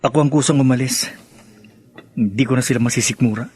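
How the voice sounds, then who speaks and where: An actor playing an angry, bitter young adult son in a melodramatic Filipino radio drama, with a confrontational delivery and a Filipino accent. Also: male voice, mid-range voice, quick pace, a little mellow, husky, faint music behind, natural voice. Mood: bitter, aggrieved.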